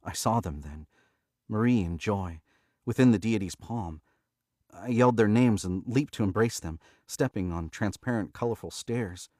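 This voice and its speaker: A man's voice: lowish voice, moderate pace, darkish tone, no echo, studio quality. A man with a stuffy nose from allergies who hosts a livestream, chatting casually and then reading a novel aloud. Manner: casual and chatty, laughs often, corrects his own misreadings. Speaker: audiobook narrator and livestream host.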